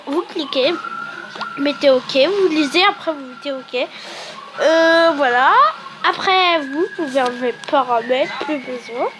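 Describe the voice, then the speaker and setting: A high-voiced woman with a cold and a sore throat walks viewers through a chatty, rambling app tutorial and keeps correcting herself. Faint noise sits under her voice. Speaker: YouTuber. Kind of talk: casual how-to tutorial.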